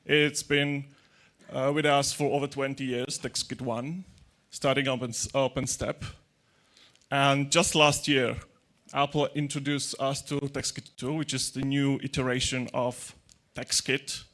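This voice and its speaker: A man's voice: medium pitch, flat delivery, a little slow, a bit bright. Chatty man giving a tech conference talk. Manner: joking, chatty, with hesitant restarts and non-native grammar. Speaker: conference speaker. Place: large dark conference hall with audience. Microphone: handheld mic.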